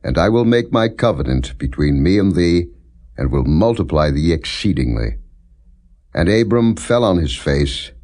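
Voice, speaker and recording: A man reading scripture as non-dramatized audiobook narration, in a solemn, measured, declarative way. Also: low-pitched, a little mellow. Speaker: Bible reader.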